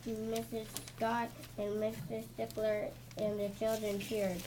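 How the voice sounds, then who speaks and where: A young reader reading a story aloud haltingly, word by word, with stumbles. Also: mid-range voice, completely flat delivery. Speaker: student reader in readers theatre. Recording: noisy room recording.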